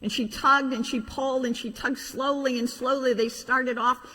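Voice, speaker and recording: A middle-aged woman, a pastor telling a children's story in an animated way with informal asides. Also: high voice, normal speed. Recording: reverberant hall.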